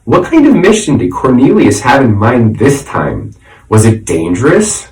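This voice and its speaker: Animated man reading aloud, roomy echo. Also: mid-range voice, a little slow. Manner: animated, dramatic storytelling. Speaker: teacher reading a children's book aloud. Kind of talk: expressive read-aloud with explanatory asides. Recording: roomy echo, distant microphone.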